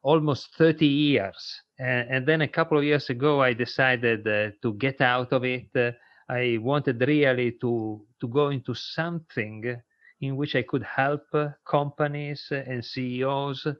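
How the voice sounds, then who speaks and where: A man in his fifties with an Italian accent, speaking as a podcast guest and pausing often with fillers as he talks. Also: mid-range voice, steady tone, no room sound, muffled recording. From Italy. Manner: hesitant, frequent uh fillers and repeats. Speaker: guest, sales consultant. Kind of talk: podcast interview.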